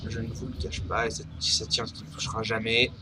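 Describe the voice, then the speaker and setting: A man with a cold giving chatty gameplay commentary, hesitating often and breaking into excited bursts. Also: fairly low pitch, brisk, over music. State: has a cold. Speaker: gaming commentator.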